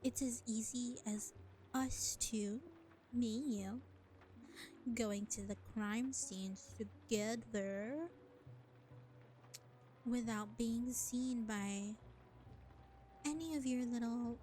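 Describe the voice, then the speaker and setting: A woman playing a world-weary, powerful vampire in a scripted audio roleplay, deliberate and condescending, speaking to the listener as a reluctant ally. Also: fairly high pitch, warm tone, a little hiss or hum. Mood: resigned, dry. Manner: deliberate, exasperated, sighing, condescending.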